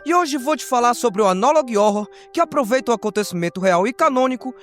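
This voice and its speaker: A man's voice: very high voice, expressive, fast, fairly bright tone. A man with a Brazilian accent telling stories as a video host, dramatic and slangy with playful asides. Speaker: host of a horror recap channel. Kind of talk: dramatic scripted storytelling narration. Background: music.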